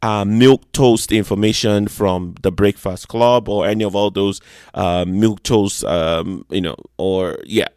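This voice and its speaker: A man's voice: on the low side, steady tone, measured pace, darkish tone, dry recording, studio quality. A young Nigerian man hosting his own music podcast, talking chattily and joking about how well he does foreign accents. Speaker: podcast host. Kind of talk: solo podcast monologue.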